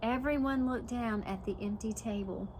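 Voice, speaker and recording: Higher-voiced woman reading to preschoolers, noisy background. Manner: animated, pauses to ask young listeners questions. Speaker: preschool teacher reading aloud. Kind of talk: expressive read-aloud of a children's picture book.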